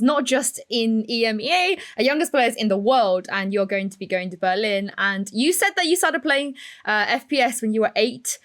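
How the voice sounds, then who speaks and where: Female host and interviewer, slightly high voice, informal conversational delivery. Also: fairly bright tone. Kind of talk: casual talk-show interviewing.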